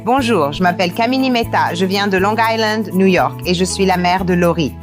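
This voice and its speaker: A woman plays an opinionated mother of the bride who holds to tradition. It is dubbed reality show talk at a medium pitch, with clear music behind. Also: fairly quick, close to an everyday voice. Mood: insistent. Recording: music playing under the voice.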